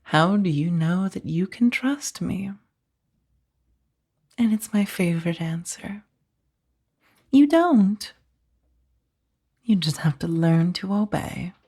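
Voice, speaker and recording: A woman playing a powerful, coolly amused mafia boss in a soft-spoken ASMR roleplay, unhurried and condescending, with a breathy, husky voice. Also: lowish voice, animated, exaggerated voice. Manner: unhurried, velvety menace with condescending endearments.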